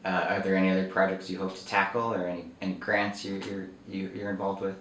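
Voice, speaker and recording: A man's voice: sits low, flat delivery, fast talker, a bit bright. Interview host guy, short polite questions, far off mic, faint hiss. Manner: brief, polite prompts. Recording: roomy echo, distant mic, faint noise.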